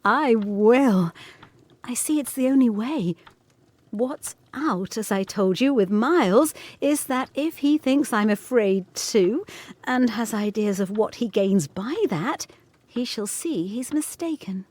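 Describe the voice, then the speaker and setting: An intense, resolute gothic governess. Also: female voice, on the high side, expressive, takes it slowly, darkish tone, dry recording. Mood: determined. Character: governess, resolute, agitated young woman in gothic ghost story. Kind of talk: gothic audio drama acting.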